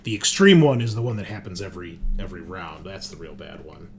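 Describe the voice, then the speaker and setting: A man running a tabletop roleplaying game as game master, describing scenes in a halting, improvised way. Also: sits low, expressive. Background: background music.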